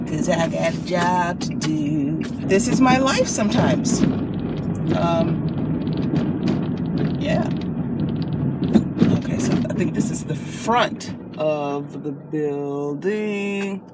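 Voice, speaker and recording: An American woman chatting casually to camera in an animated, playful, expressive way, like telling stories to friends. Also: low-pitched, slow, long pauses. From Chicago, United States. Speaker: vlogger and actress. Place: inside a car. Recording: clear car and traffic noise.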